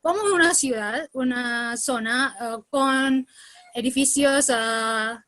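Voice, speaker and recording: A woman from Indonesia with an Indonesian accent, giving a seminar presentation in beginner Spanish, often pausing to search for words, over a video call. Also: high voice, a bit bright, dry recording.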